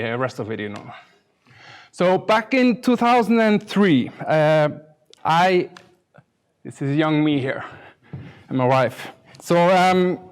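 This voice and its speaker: Middle-aged Icelandic man, slightly high voice. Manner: self-deprecating, dry humour, hesitant. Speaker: conference speaker, UX designer. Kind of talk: informal conference talk with slides.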